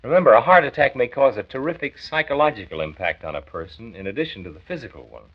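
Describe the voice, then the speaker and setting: A man's voice: mid-range voice, lively intonation, quick pace, close to an everyday voice. An actor playing an earnest, kindly male county health doctor who explains and persuades in a 1940s radio drama. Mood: concerned, reassuring. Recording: muffled old 1940s radio recording.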